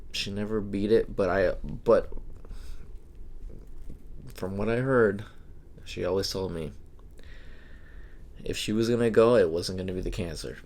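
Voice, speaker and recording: A young man, a podcast co-host, talking in a slow, halting and reflective way. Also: low-pitched, vocal fry, a little mellow. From Hawaii. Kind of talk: casual podcast chat.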